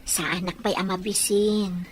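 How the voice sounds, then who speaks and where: An actress with an Ilocano Filipino accent playing a young pregnant wife, weary and slightly petulant, in a radio drama. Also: female voice, mid-range voice, steady tone, normal speed, a little hiss or hum, natural voice. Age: young adult.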